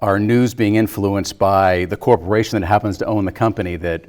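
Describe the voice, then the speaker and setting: A middle-aged man from Philadelphia with a fairly low voice. He chats informally as a guest, explaining and discussing film in a conversational way. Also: steady tone, brisk, darkish tone. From Philadelphia, United States. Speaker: guest, local newspaper employee.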